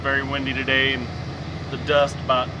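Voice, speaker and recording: A man's voice: medium pitch, monotone, a little fast. A young man vlogging as he plants in a tractor cab, talking in a laid-back, rambling way with a scratchy voice from dust, recorded on a phone and then an external mic. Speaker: farmer vlogger. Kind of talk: casual vlog commentary. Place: tractor cab and open field. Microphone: cell phone, then external mic. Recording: tractor engine and wind noise.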